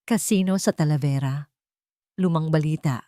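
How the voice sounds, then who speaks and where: A woman's voice: sits low, moderate pace, warm tone, no echo, studio quality, lightly acted. A Filipino woman voicing a decisive, protective young man, the resolute hero of an audio romance. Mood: intent.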